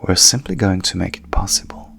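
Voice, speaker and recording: A man speaking as a hypnotherapist in a calm, hushed, soothing manner, softly guiding a sleep meditation with long pauses. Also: sits low, gravelly. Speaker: coach and hypnotherapist guiding a session.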